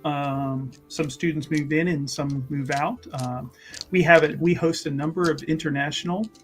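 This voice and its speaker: A woman's voice: low-pitched, fairly even intonation, normal speed. A woman playing a tabletop roleplaying game, improvising in a rambling, hesitant way with music behind her. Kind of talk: improvised in-character game chat.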